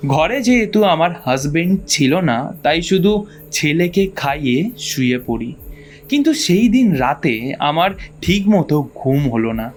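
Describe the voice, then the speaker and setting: A man narrating a first-person ghost story from a script in a suspenseful, dramatic storytelling manner. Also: a little high.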